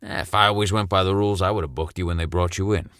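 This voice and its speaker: An actor playing a blunt, gruff police sergeant and former coach, scolding and commanding, in an audio drama. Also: male voice, low voice, steady tone, fast talker, a bit bright, no echo, studio quality.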